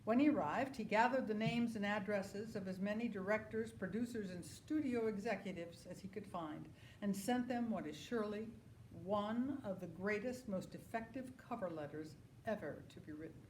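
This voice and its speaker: A woman's voice: medium pitch, moderate pace, darkish tone, slight background noise, rough recording. A woman performing a scripted letter reading in a theatrical style, expressively savouring individual words.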